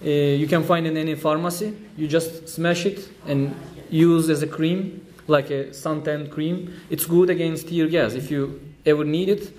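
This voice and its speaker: A man from Turkey with a Turkish accent, giving a speech at an event, telling a story with light humor and many pauses. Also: a little high, fairly even intonation, darkish tone. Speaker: trade union official giving a talk.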